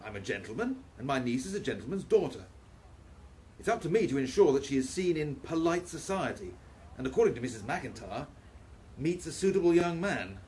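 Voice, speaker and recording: An actor playing a proper, protective Victorian gentleman professor in a radio play, speaking formally and earnestly. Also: fairly high pitch, big pitch swings, fairly quick. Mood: fussy, exasperated. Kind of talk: steampunk radio play acting.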